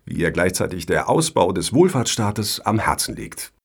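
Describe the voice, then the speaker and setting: Pompous, animated male foundation official played by an actor. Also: low voice, moderate pace, slightly rough, full character voice. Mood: self-satisfied. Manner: pompous, flowery speech. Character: genial, self-satisfied male foundation board member. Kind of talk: satirical radio play acting.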